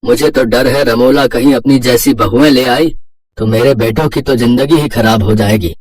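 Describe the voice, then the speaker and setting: A man's voice: medium pitch, steady tone, normal speed, darkish tone, slightly rough, close to an everyday voice. A man playing a worried, long-suffering middle-aged husband and father in a moral story, earnest and fretful.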